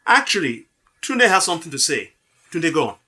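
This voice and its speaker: A man acting a polite, smoothly evasive young businessman groom in an audio drama. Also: a little high, big pitch swings, a little fast, sharp tone, full character voice. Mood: tense.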